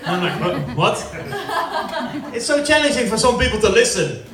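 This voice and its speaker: A man's voice: very high-pitched, very animated, unhurried, a bit bright, slight background noise. A man from the Netherlands with a Dutch accent, doing chatty, rambling stand-up at a family party and laughing often. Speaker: stand-up comedian. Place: former football club canteen. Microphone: corded handheld mic. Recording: echoey hall from a distance with crowd.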